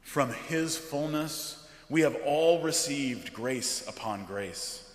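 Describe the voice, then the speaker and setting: A man, a pastor, speaking solemnly and deliberately from a church pulpit in a liturgical setting. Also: fairly high pitch, lively intonation. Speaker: Lutheran pastor. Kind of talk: liturgical scripture reading. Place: large church interior, from the pulpit. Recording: echoey church with long reverb.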